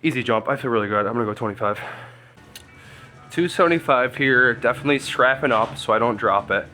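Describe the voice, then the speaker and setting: A congested man, a strength coach, chatting casually through a training vlog and thinking out loud. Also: medium pitch, brisk, soft background music. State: congested, stuffy nose.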